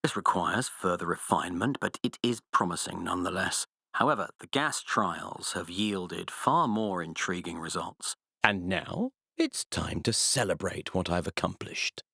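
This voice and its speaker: An actor playing a cold, pompous, sadistic scientist villain in a video game, speaking with condescending, precise diction. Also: male voice, deep voice, animated, a little slow, vocal fry, pushed and bright, husky, no room sound, studio quality, heavily acted. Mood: cold triumph. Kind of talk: video game villain voice acting, monologues and research logs.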